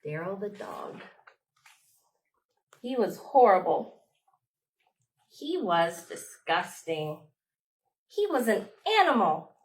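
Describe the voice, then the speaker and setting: A woman leading a storytime for young children, reading aloud expressively with dramatic pauses, like a children's librarian. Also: medium pitch, very animated.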